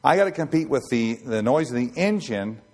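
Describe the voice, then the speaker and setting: A man in his sixties with a raspy voice, giving an informal Bible teaching and rambling with many fillers and restarts. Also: medium pitch, expressive, fairly quick. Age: 60s. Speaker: ship chaplain preacher.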